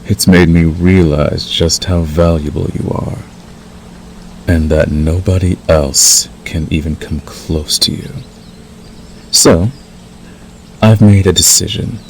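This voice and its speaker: Man acting out a very deep, growling werewolf lover. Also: fairly even intonation. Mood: possessive coaxing. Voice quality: gravelly, growling. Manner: slow, deliberate, coaxing yet threatening. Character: possessive, menacing male werewolf lover, seductive predator. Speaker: audio roleplay voice actor. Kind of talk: ASMR roleplay acting. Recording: faint background noise.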